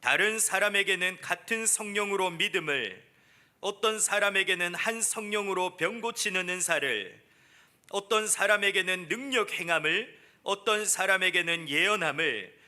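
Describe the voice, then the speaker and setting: A man reading Bible verses aloud formally at a church service, in a measured, declamatory way. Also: high-pitched, sharp tone. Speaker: scripture reader at church.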